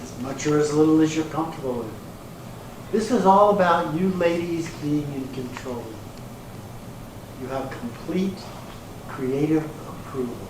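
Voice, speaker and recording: A man acting in a readers theater piece as a slick, smooth-talking promoter with a grandiose, placating salesman's patter. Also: a little high, unhurried, long pauses, close to an everyday voice. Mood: coaxing, reassuring. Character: slick, smooth-talking male promoter pitching a glamour calendar. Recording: echoey hall from far off, hissy room noise.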